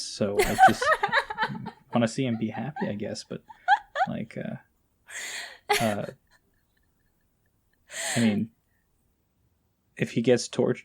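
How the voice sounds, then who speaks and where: A man's voice: medium pitch, darkish tone, slightly rough. A man speaking as a podcast guest in a casual, informal and somewhat hesitant, enthusiastic way. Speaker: podcast guest, amateur voice actor. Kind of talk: casual interview chat.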